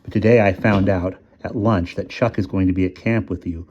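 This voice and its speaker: A man's voice: sits low, fairly even intonation, fairly quick, a little mellow, lo-fi sound, a little hiss or hum, close to an everyday voice. A man voicing an impatient teenage boy talking to his friend on the phone. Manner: blunt, impatient.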